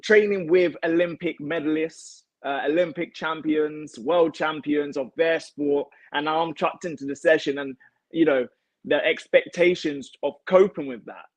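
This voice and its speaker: A man's voice: a little high, fairly even intonation, unhurried, no room sound, rough recording. Young British man, dry close sound. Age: young adult. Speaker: guest, elite martial arts athlete. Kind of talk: casual chat, telling own story. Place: home kitchen, indoors. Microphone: device mic on a video call.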